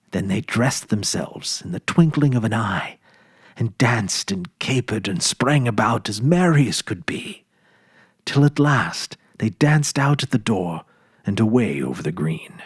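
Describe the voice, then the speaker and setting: Male narrator, dramatic and expressive, with a slightly rough husky tone in a dry, close recording. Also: medium pitch, no room sound. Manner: expressive, theatrical reading. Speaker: storyteller and show host. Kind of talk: dramatic scripted storytelling.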